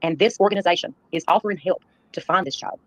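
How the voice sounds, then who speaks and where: A woman hosting a livestream, addressing her viewers in an earnest, halting way. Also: fairly low pitch. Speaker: live stream host. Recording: dull, lo-fi livestream playback.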